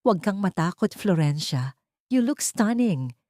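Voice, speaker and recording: A woman voicing a gentle, devoted gentleman in a romance audio drama, speaking softly and with emotion. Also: mid-range voice, big pitch swings, unhurried, dry recording, studio quality. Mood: tender, reassuring. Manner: soft, tender, emotional.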